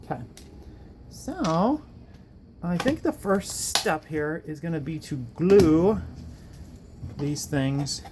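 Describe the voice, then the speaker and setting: A man's voice: on the high side, expressive, slow, pauses often, background noise. A man hosting a casual workbench build video, talking in a rambling, self-deprecating way. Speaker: electronics hobbyist host.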